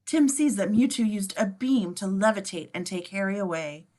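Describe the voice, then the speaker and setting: A woman's voice: mid-range voice, animated, normal speed, a little mellow. A woman reading a script's scene descriptions at a table read, informal and playful, with casual asides. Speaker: stage-direction reader.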